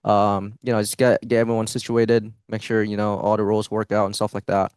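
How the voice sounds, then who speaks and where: A man's voice: on the low side, little pitch movement, brisk, darkish tone, smooth, no echo, studio quality. A young man, a pro esports player, answering interview questions in a hesitant way, with many fillers and restarts. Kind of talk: post-match interview answers.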